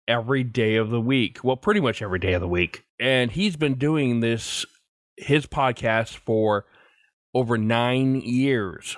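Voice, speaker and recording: A man's voice: medium pitch, no room sound, studio quality. A man hosting a podcast, talking directly to listeners as he goes through messages and show announcements. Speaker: podcast host.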